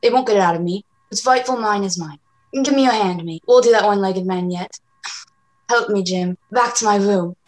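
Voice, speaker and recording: Woman doing sickly old pirate captain, gruff sailor lingo, desperate, middling pitch, radio drama. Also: normal speed, natural voice. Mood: desperate, failing. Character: ailing, desperate old pirate captain, rum-loving man. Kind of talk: amateur radio play acting.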